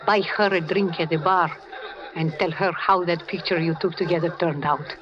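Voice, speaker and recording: A woman's voice: lowish voice, steady tone, normal speed, crowd noise, lightly acted. An actress playing a tender, teasing, wistful young Cuban woman with a Spanish accent in 1940s radio drama. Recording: muffled old radio recording with music.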